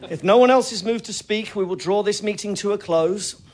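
An actor playing a grave, principled Quaker shoemaker and family patriarch in an audio drama, speaking in a solemn, measured, preaching way. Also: male voice, sits high, a little mellow, close to an everyday voice. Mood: solemn, devout. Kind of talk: audio drama stage acting.

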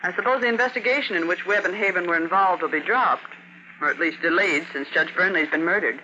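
An actress playing an efficient, respectful secretary in an old-time radio drama, with a businesslike manner. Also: female voice, on the low side, fast talker, lightly acted. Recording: muffled, noisy old radio recording.

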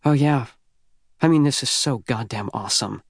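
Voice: mid-range voice, moderate pace, slightly rough, dry recording, studio quality. Man playing a sarcastic, cornered teenage boy. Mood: bitterly sarcastic. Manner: incredulous, sarcastic retorts.

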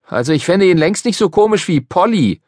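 A man's voice: high-pitched, expressive, fairly quick, darkish tone, dry recording, clean studio sound, voice pushed up. A man voicing an unhappy young country boy in a storybook reading, talking earnestly and near tears. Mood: upset. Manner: earnest, upset and tearful. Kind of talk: storybook character voice.

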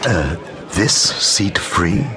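An actor playing a courteous, genteel gentleman stranger in a radio drama. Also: deep voice, big pitch swings, very slow, very noisy, heavily acted. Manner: polite, genteel. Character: courteous gentleman stranger in silk top hat.

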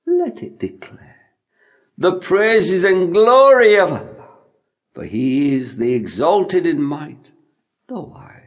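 A man narrating scripture as an audiobook reader, in a solemn, declamatory recitation delivered phrase by phrase. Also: high voice.

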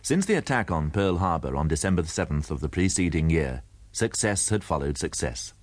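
A man narrating a history in a measured, formal scripted style. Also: deep voice, very animated, no room sound.

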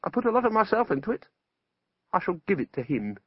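Bitter, high-strung exiled wizard played by a man. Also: sing-song, fast, husky, lo-fi sound, full character voice. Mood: proud, brooding. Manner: impassioned, indignant, snarling. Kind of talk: audiobook character voice.

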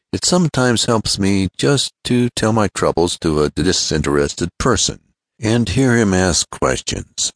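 A man's voice: deep voice, no echo, studio quality, pitched above the performer's own voice, heavily acted. A man voicing an earnest, confident young male inventor in an adventure storybook, explaining things keenly. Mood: confiding. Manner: explanatory, keen.

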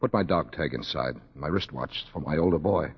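An actor playing a dogged British soldier on the run in a 1940s radio drama, clipped and sardonic. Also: male voice, a bass voice, moderate pace, breathy edge, full character voice. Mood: determined. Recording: muffled old radio recording.

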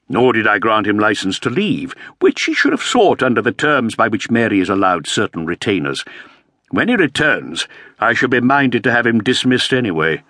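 A man's voice: fairly low pitch, pushed and bright, no echo, clean studio sound, full character voice. A man voicing a put-upon, aging Elizabethan earl who speaks in a plaintive, complaining way.

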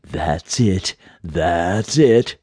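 A man's voice: deep voice, lively intonation, a little mellow, husky, dry recording, clean studio sound, full character voice. A man voicing a mysterious disembodied presence in a storybook, whispery, teasing and repeating itself. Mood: eerie, luring. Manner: slow, teasing, repetitive.

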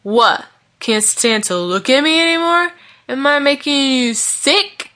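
Storybook drunk played by a woman: young man, sneering and slurring, sharp, forceful edge. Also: high-pitched, lively intonation, a little slow, pitched above the performer's own voice, heavily acted. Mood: sneering, bitter. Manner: slurred, taunting.

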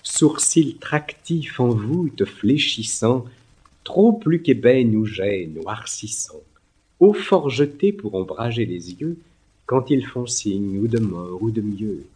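Slow, husky Frenchman reciting poetry. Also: medium pitch, animated, mellow. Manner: declamatory, lyrical, theatrical phrasing. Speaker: poetry reader.

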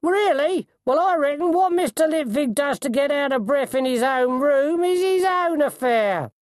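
Bossy coachman bloke, audiobook reader doing him, hearty bellow, pitched really high. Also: animated, moderate pace, no echo, clean studio sound. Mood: bossy, knowing. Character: bluff, commanding coachman, a man, loud and hearty.

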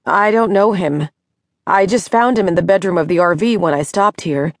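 A woman's voice: fairly low pitch, moderate pace, no room sound, studio quality, pitched above the performer's own voice, lightly acted. A woman voicing a flustered, anxious and indignant woman of sixty-two in an audiobook. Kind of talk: audiobook character dialogue.

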